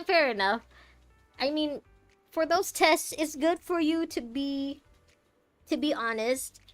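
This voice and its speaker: A young woman from Malaysia talks her way through a game, musing aloud in a loose, rambling way. Her voice sits a little high. Faint game music plays underneath. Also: a bit bright, no echo. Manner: chatty and rambling, thinking aloud with giggles. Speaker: game streamer. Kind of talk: casual commentary while playing a game.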